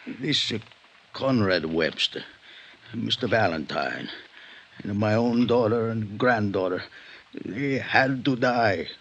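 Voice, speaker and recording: An old Italian patriarch, stubborn and vengeful, on a muffled 1950s radio recording. The male voice is low and gravelly, with a thick Italian accent. He broods through broken, halting English. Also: animated, fairly bright tone, exaggerated voice. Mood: cold, vengeful. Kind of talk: 1950s radio drama acting.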